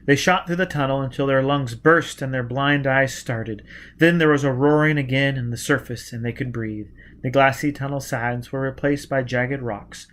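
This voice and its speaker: A man reading an adventure story aloud as dramatic, deliberate, intense narration. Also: mid-range voice, fairly even intonation, a bit bright. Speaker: audiobook narrator.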